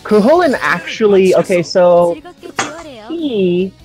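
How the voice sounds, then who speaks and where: A man's voice: high-pitched, very animated, slow, a little mellow. A young man in casual chat, explaining things earnestly and choosing his words with care. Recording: faint music behind the voice. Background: music.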